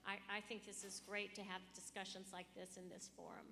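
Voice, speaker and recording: Bright-voiced woman speaking on a panel. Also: mid-range voice, flat delivery, fairly quick. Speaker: panelist, aviation regulator. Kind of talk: conference panel discussion. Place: conference hall with PA sound. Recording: echoey hall sound with background noise.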